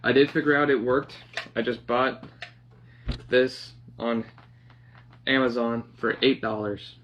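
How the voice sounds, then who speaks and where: A young man casually narrating a hands-on project, half talking to himself in a halting mutter as he works in a living room. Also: mid-range voice, fairly even intonation. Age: young adult. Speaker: DIY vlogger. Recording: dull lo-fi sound, clear background noise.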